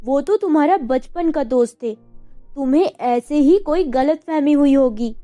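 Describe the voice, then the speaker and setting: A woman playing a caring, reassuring mother in a dramatized love story, talking in a coaxing, expressive way. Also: high voice, measured pace, no echo, faint music behind. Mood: concerned.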